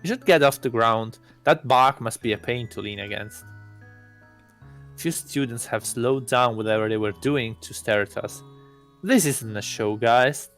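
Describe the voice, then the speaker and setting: A man's voice: mid-range voice, measured pace, fairly bright tone, faint music behind. A man voicing an arrogant god posing as an exchange student, pompous and disdainful, in a visual novel. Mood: brooding. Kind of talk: visual novel read-aloud.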